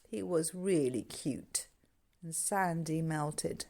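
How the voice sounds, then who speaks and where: A woman with a fairly low voice narrates a novel. She reads from a script in audiobook style, as the author telling her own story. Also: slow, warm tone.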